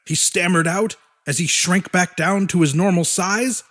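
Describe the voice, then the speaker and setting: A man's voice: sits high, unhurried, fairly bright tone. A man narrating a fiction audiobook in a dramatic, scripted storytelling style.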